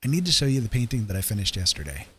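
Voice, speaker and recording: A man hosting a livestream, chatting casually in a halting, rambling way. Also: on the low side, big pitch swings, fairly bright tone, husky. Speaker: livestream host.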